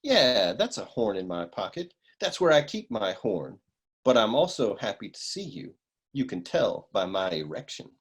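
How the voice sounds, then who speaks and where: A man playing a cocky, cool, lecherous private eye with deadpan swagger in a comic noir radio play. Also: fairly low pitch, moderate pace, husky. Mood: cool, flirty.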